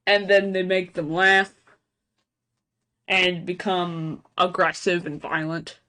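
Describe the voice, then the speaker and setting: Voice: medium pitch, level tone, slow, sharp tone. A reaction video host reading on-screen text aloud and breaking into casual, speculative asides. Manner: casual asides between read-out passages.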